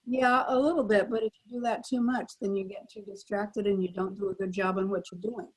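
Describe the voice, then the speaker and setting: Older woman telling a lively story, husky. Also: mid-range voice, brisk, rough recording. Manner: animated storyteller, acts out quoted lines. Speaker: panel guest, former child radio actor. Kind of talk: anecdotal storytelling. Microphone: video call.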